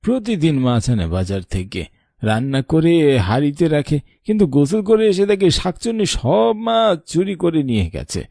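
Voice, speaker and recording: Man acting elderly cartoon grandad, gravelly, swooping intonation, sharp-tongued, affectionate. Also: on the high side, big pitch swings, moderate pace, no room sound, studio quality, full character voice. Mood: storytelling. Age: older. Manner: scolding, then tender storytelling. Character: old grandfather, protective, weary, sharp-tongued but affectionate.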